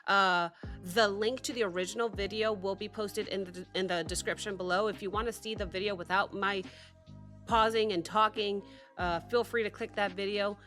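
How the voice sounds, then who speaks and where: A woman in her thirties hosting a music reaction, chatting excitably with rambling restarts while still sick with a cough. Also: mid-range voice, normal speed. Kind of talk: casual chat to camera.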